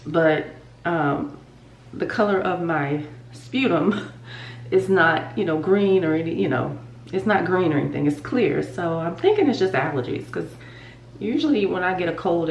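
A woman's voice: on the low side, lively intonation, measured pace, gravelly, distant mic, a little hiss or hum. A woman in her thirties with a stuffy nose, chatting casually and rambling to her viewers from her bathroom while doing her routine. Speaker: vlogger. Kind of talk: casual chat to camera. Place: home bathroom. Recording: roomy bathroom echo, voice slightly off-mic.

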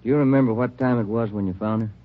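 An actor playing a wry, plain-spoken police detective sidekick in old radio drama style, on a vintage radio recording. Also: male voice, mid-range voice, fast, natural voice. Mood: dutiful. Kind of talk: 1940s-50s radio acting. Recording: dull, noisy old-time radio recording.

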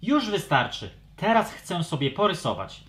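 A woman's voice: lowish voice, sing-song, moderate pace. A woman playing a grumpy, teasing young male dragon in a children's audio story, complaining theatrically. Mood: irritated.

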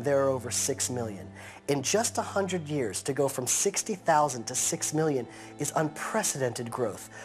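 A man in his thirties gives an earnest, measured sit-down interview from a couch, drawing out key phrases for weight. His voice sits at an ordinary middle pitch, and a clear music bed plays steadily behind him. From United States. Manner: earnest, measured, slows to stress points. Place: living room set, seated on a couch.

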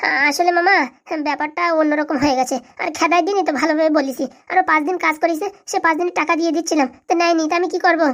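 A woman acts out a defensive village mother from a comedy cartoon. The voice is high and races along, brusque and full of self-justification. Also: fairly even intonation, rapid-fire. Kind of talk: comic cartoon dialogue.

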